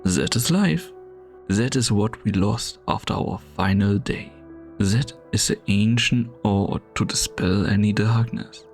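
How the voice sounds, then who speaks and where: A man playing a brooding, world-weary strategist in a fantasy game fan dub, delivering solemn, theatrical monologues with a gravelly voice. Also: on the low side, measured pace, recorded from a distance. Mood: solemn, reverent. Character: brooding, world-weary male strategist called Doctor, torn and grandiose. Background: background music.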